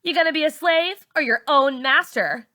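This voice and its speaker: A woman's voice: high-pitched, moderate pace, sharp tone, voice pushed up. A woman voicing a bossy, mocking teenage girl who leads her group of friends. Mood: taunting. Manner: mocking, taunting.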